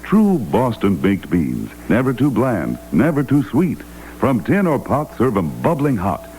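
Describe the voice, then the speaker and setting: An American man reading a scripted 1960s radio advertising pitch. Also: wildly swooping pitch, a little slow, a little mellow, faint music behind, poor audio. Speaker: commercial announcer.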